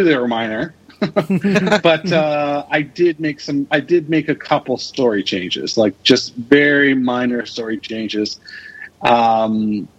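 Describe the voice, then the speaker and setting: A man with a medium-pitched voice answers as an interview guest in a casual, storytelling way. He thinks aloud in halting fragments. The recording is dull and lo-fi. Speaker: podcast guest, radio drama creator and producer. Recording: dull lo-fi audio.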